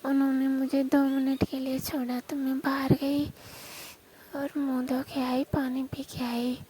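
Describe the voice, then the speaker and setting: A young woman telling an intimate personal story in a soft, breathy voice that often drops toward a whisper. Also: high-pitched, completely flat delivery, a little slow. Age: young adult. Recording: faint background noise, amateur call-style recording.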